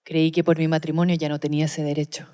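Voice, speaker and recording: A woman's voice: sits low, level tone, fast, dark tone, natural voice. A woman playing a sorrowful, defeated married daughter, resigned and loyal, in a dramatised radio drama reading.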